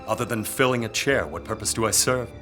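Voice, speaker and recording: A man's voice: fairly low pitch, a little fast, music in the background, lightly acted. A man playing a brooding, self-doubting lord in an audio drama, courteous and subdued in his weary, formal speech. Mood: self-reproachful, bleak.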